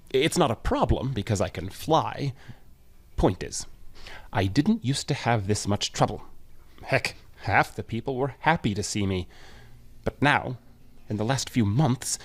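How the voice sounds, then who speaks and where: Grim reaper, male actor: put-upon, wry, conversational complainer with swooping, expressive delivery for comic audio drama. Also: mid-range voice, unhurried, pauses often, breathy edge, heavily acted. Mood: weary, frustrated. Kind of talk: comedic audio drama dialogue.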